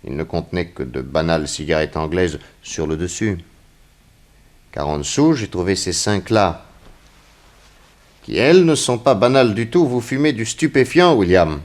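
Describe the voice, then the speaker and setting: An actor playing a stern, shrewd investigator who questions insistently and sardonically in a 1960s French radio crime drama. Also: male voice, deep voice, expressive, moderate pace. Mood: grave accusation. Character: stern, shrewd male investigator grilling a young suspect.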